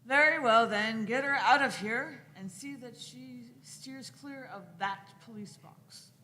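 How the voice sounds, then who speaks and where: A woman playing a brisk, stern police detective inspector in a radio play. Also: a little high, bright, forceful tone, poor audio. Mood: stern, suspicious. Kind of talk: live radio play reading.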